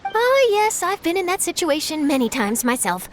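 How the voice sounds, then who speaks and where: A woman's voice: very high voice, very animated, normal speed, very noisy. A woman voicing an eager, polite young female journalist in a video game, chatty and earnest. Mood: enthusiastic. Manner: bright-eyed, chatty, earnest. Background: game music.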